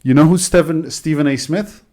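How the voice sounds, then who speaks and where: A man hosting a podcast in a loose, casual chat, reading jokes aloud and filling pauses with "uh" and "um". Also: fairly high pitch, mellow. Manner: chatty, loose, many fillers. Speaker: podcast host.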